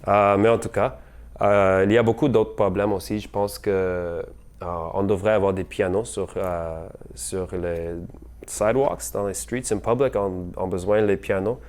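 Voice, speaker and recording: A young man giving an unscripted campaign message, hesitant and halting, with many fillers and slips into English words. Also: fairly low pitch, fairly even intonation, a little mellow. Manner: apologetic about his French. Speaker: mayoral candidate.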